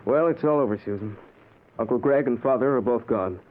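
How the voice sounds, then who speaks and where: Old tinny radio w/ bit of noise, actor as grieving son, sober and resigned. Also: male voice, medium pitch, brisk, lightly acted. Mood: resigned, sad. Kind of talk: 1940s radio acting. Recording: muffled, noisy old radio broadcast.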